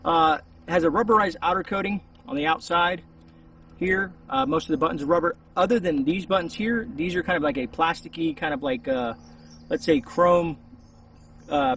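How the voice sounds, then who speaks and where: Guy doing a chatty off the cuff gear review outside, gravelly voice, a bit far from the mic. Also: a little high, lively intonation, moderate pace, a bit bright. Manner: rambling, informal, conversational. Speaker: host of a gear review channel. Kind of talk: casual unscripted product review to camera. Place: outdoors in a shaded spot. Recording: distant mic with faint outdoor noise.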